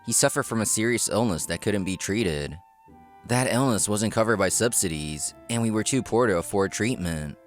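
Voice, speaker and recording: Low-voiced man narrating a manga story. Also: animated, moderate pace, faint music behind. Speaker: narrator and lead character. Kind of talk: scripted first-person manga narration.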